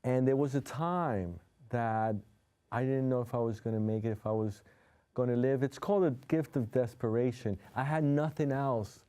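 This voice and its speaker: Spanish-first new yorker guy, mellow warm voice, heartfelt studio chat. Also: mid-range voice, moderate pace, clean tone. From New York City. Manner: heartfelt, open, speaks with conviction. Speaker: guest, hypnotherapist. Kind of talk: earnest interview talk. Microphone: studio TV set.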